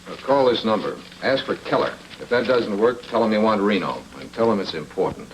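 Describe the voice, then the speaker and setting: Male actor as hard-boiled detective, deadpan wisecracks, lowish swinging pitch, old radio drama, noisy recording. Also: a little fast, natural voice. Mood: brisk, businesslike. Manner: deadpan, sardonic. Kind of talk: film noir radio drama acting.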